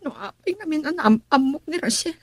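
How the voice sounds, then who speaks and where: A woman's voice: high-pitched, animated, a little fast, a little mellow. An actress playing a young daughter protesting to her father, in Ilocano radio drama style with an Ilocano accent. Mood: upset.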